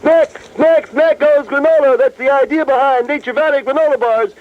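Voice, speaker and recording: Manic, hammy Top 40 jock, played by a man, high-pitched and corny, on dull old radio tape. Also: moderate pace. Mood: peppy, hard-selling. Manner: hammy patter with corny gags and forced laughs. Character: manic, corny, wisecracking parody Top 40 radio disc jockey. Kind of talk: parody 1970s Top 40 radio DJ patter.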